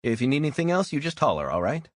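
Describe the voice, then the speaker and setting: A man's voice: sing-song, very fast, dry recording, clean studio sound. A man putting on a chatty diner waitress. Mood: friendly. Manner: chatty, lifted pitch. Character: friendly middle-aged diner waitress.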